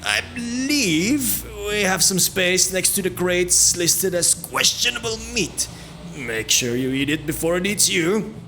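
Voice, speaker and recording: A man's voice: high voice, animated, measured pace, pushed and bright, pitched above the performer's own voice, full character voice. Male actor as jovial monk for fantasy audio drama; mischievous, hearty banter, music underneath. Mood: jovial teasing. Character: jovial teasing brother of a monastery, adult man.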